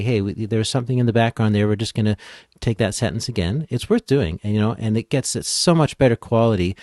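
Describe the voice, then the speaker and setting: Guy with a fairly deep voice, close dry sound, casually explaining stuff like an expert guest. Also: darkish tone, no echo. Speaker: podcast editing expert guest. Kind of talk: casual explanatory interview talk.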